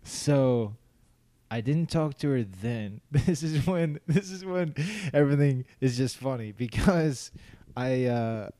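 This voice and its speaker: A young man with a mellow, relaxed voice chats casually on a podcast. He rambles through his stories with plenty of "like" and restarts, and acts out what he was thinking. The sound is dry and close. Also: mid-range voice, takes it slowly, no room sound. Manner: rambling storyteller, many fillers and restarts; laughs often, acts out his own quoted thoughts. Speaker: podcast co-host. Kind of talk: casual chat.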